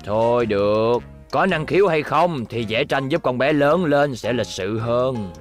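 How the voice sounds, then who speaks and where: A man's voice: medium pitch, expressive, unhurried, soft background music, close to an everyday voice. A man playing a mild father and husband in a cartoon dub, reasoning as he disagrees. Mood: doubtful. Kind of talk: cartoon dubbing.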